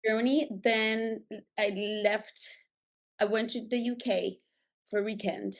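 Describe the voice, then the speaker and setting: Lively Colombian woman, quick fragments. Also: on the high side. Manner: lively, laughs often, talks in quick fragments. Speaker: podcast guest, business founder. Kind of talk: casual interview chat. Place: remote call from a jungle location.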